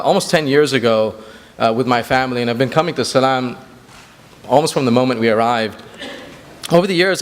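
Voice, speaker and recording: Man, grew up in Sri Lanka, earnest speech at a community banquet, mid-pitched, long hall reverb. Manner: earnest, with frequent fillers and restarts. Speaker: executive director.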